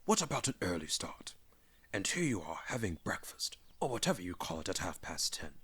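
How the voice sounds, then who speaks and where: Guy doing a bossy old wizard for a storybook, breathy n brisk, hurrying everyone along. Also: low-pitched, very animated, a bit bright, exaggerated voice. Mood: brisk, chiding.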